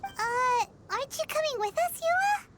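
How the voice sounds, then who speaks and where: A woman voicing a tiny chirpy fairy girl companion who talks about herself in the third person, with a squeaky, childlike voice. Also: sing-song, normal speed, heavy background noise. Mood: curious.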